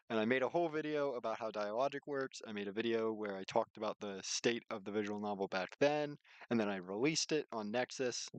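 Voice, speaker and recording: A man's voice: lowish voice, no echo. A man livestreaming in a casual, rambling, slangy way, chatting and explaining things informally on a close microphone. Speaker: live streamer and game developer.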